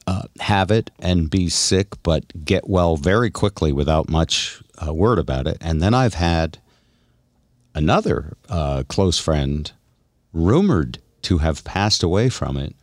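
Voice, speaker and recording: Very deep-voiced man, dry recording. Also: dry recording. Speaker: radio show podcast co-host. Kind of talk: casual talk and commentary.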